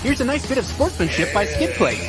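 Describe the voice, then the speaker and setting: An actor playing an uptight, earnest, nerdy sports commentator in a comic racing game. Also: male voice, very high voice, very animated, brisk. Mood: prim, earnest. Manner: prim, earnest, stiff. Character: uptight, nerdy, earnest male race commentator, easily flustered. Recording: loud music behind the voice.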